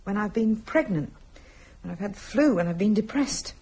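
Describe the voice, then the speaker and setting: An actress playing an anxious wife confiding her fears haltingly and intensely in a radio play. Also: mid-range voice, expressive, dark tone, husky, rough recording. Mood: fearful, brooding.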